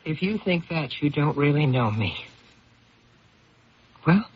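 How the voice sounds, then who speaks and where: An actress playing a steady, concerned confidante with a gently probing manner in a 1970s radio drama. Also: female voice, sits low, takes it slowly, breathy edge, exaggerated voice. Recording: very muffled, tinny old radio broadcast.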